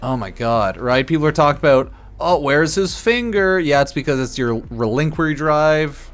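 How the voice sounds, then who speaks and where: A slightly drunk man giving casual, sarcastic game commentary on a stream, blunt and quick to swear. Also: a little high, expressive, soft background music. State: a bit drunk.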